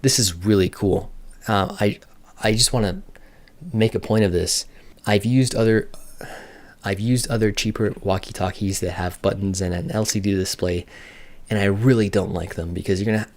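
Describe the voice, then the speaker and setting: Low-voiced man casually demonstrating a product. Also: steady tone, normal speed, darkish tone. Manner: matter-of-fact, thinking aloud. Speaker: product reviewer.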